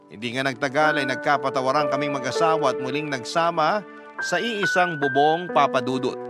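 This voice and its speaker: Male radio storyteller: emotional scripted narration, somewhat high register, prominent music bed. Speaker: radio host reading a listener's letter. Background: loud background music.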